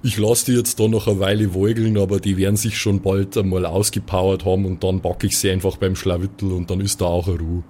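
A man voicing a gruff, folksy strongman with a Bavarian accent in an improvised tabletop audio play. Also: low-pitched, flat delivery, mellow. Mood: stern, unimpressed. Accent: southern German-Austrian Bavarian. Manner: folksy, unhurried, hesitant.

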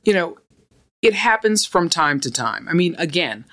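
Middle-aged New woman, deep soothing voice, unhurried and confident. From United States. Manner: calm, measured, self-assured. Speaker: podcast guest, law firm partner. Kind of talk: casual interview conversation.

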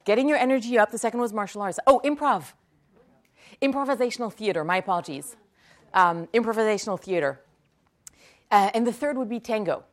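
A woman's voice: on the high side, fairly quick, pauses often. Woman, French native speaker, lecture Q&A, repeats questions, articulate with ums. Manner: repeats each question aloud before answering. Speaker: speaker answering audience questions.

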